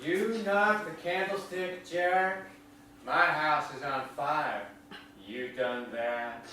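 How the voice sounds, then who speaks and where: An actor as slow, menacing old man, echoey. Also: medium pitch, steady tone, bright, forceful tone. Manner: slow, accusing. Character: menacing, bony old country neighbour man. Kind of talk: staged reading of a play. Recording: echoey hall from far off, faint noise.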